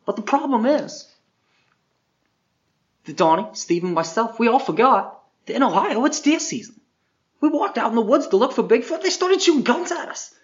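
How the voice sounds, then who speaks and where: A man's voice: very high voice, expressive, normal speed, lo-fi sound. A man playing an exasperated, boastful famous actor on a Bigfoot hunt, complaining in a whiny, incredulous way in improvised comedy. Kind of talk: improvised comedy character monologue and banter.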